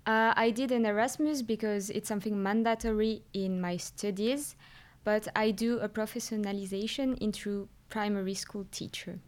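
A woman's voice: medium pitch, fairly even intonation, normal speed. A nineteen-year-old woman from France, a student who speaks hesitantly with fillers. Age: teens. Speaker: student guest.

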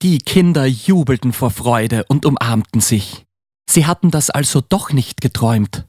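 A man narrating a children's Christmas audio play as a scripted story, with an expressive read-aloud delivery. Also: medium pitch, moderate pace, no echo, clean studio sound.

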